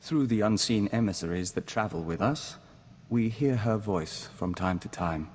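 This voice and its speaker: An actor playing a calm, dutiful diplomat bound to a hive mind, speaking formally in video game dialogue. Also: male voice, fairly low pitch, long reverb tail, a little hiss or hum, lightly acted. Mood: earnest, subdued. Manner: formal, measured, speaks of himself as we.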